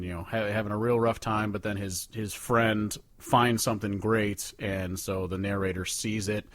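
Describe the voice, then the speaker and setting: Low-voiced man rambling casually, dry close sound. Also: dry recording. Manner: rambling, profane, conversational. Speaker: podcast co-host. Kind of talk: casual chat, ranking songs with commentary.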